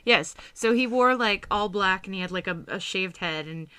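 Bright-voiced chatty woman podcast host. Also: mid-range voice, moderate pace, a little hiss or hum. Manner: chatty, laughing, rambling with fillers and restarts. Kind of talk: casual chat.

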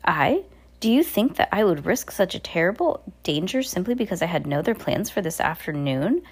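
A woman's voice: on the low side, big pitch swings, husky. A woman voicing a frantic, blustery male submarine captain who fires off rhetorical questions. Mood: exasperated. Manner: rapid-fire rhetorical questions.

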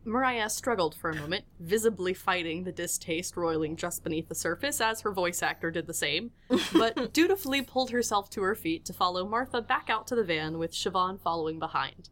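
Lowish-voiced woman narrating an audio drama. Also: animated, brisk, a bit bright. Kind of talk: scripted storytelling narration with playful asides.

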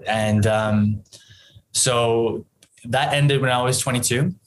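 A man's voice: medium pitch, steady tone, takes it slowly. Australian man chatting casually, noisy recording. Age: 30s. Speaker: podcast guest, entrepreneur. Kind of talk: casual conversational storytelling.

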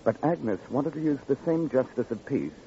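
An American actor playing a cagey, folksy lonely-hearts club operator, evasive and familiar, in an old-time radio crime drama. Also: male voice, medium pitch, lively intonation, normal speed, creaky, warm tone. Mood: confiding. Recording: muffled, noisy vintage radio recording.

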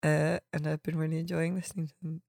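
A woman's voice: low-pitched, level tone, fast, creaky, a little mellow, dry recording, studio quality. A woman hosting a podcast in a casual, chatty style. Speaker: podcast host.

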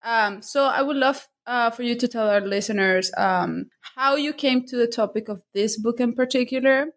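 A woman hosts a book interview, leading with long, carefully built questions. Her fairly high voice sounds enthusiastic and a little formal. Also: normal speed. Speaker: podcast host, interviewer. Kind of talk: interview hosting with a read introduction.

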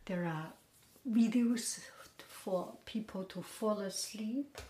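A woman hosting a podcast, talking softly and gently in a half-whisper, in a relaxed, unhurried chat. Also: medium pitch. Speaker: podcast host. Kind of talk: soft, slow casual chat. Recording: roomy echo with faint noise.

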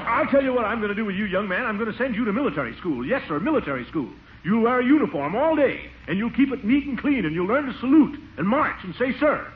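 An actor playing a blustery, exasperated middle-aged uncle in a 1940s radio comedy, pompous and grumbling. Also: male voice, high voice, big pitch swings, fast, hardly any pauses. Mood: stern, blustering. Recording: noisy, tinny old radio recording.

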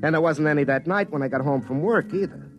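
An actor playing an excitable, folksy small-town phone repairman who tells his own story in a classic radio drama, wry and easily flustered. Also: fairly high pitch, fast talker, close to an everyday voice. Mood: wry, rueful. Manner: folksy, wry narration turning to flustered, stammering pleading. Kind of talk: classic radio drama acting with first-person narration. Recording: vintage radio recording, faint music.

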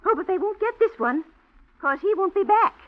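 A woman's voice: very high-pitched, expressive, moderate pace. An actress playing a blunt, grumbling working-class landlady who talks ungrammatically, in 1940s radio acting, on a muffled old radio recording.

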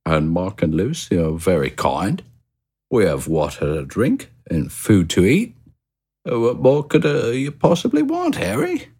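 A man voicing a pampered, contented male pet hamster in a shrill, cheerful storybook voice. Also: huge pitch swings, unhurried, darkish tone, slightly rough, full character voice.

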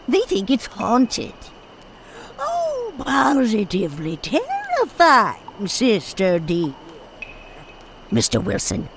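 Creaky, wildly swooping haughty villainess. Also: female voice, medium pitch, slight background noise, exaggerated voice. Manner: mocking, imperious, melodramatic. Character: haughty, theatrical villainess, one of twisted twin sisters. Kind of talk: old-time radio drama acting.

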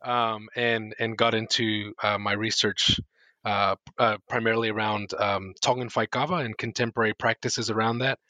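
A man from the United States, a guest speaking about his life in a relaxed, self-deprecating way with fillers and laughs. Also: fairly low pitch, moderate pace, no echo, highs cut off. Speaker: guest, filmmaker and lecturer. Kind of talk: casual interview conversation.

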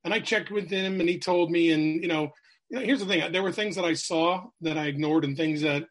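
A man's voice: fairly high pitch, a little fast. Candid middle-aged New man. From New Hampshire, USA. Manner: candid, rambling with many fillers. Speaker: guest, sales coach. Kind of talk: podcast interview storytelling.

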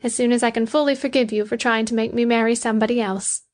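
A woman's voice: a little high, steady tone, quick pace, voice pushed up, close to an everyday voice. A woman voicing a proud, defiant young woman in love, emphatic and earnest, in an audiobook. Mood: playful, tender. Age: young adult. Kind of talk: audiobook character dialogue.

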